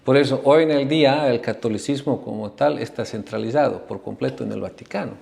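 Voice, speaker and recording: A man from Bosnia giving a slow, unhurried talk on religious history as a spiritual teacher, often ending his phrases with a questioning tag. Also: mid-range voice, creaky, a little mellow. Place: ashram class.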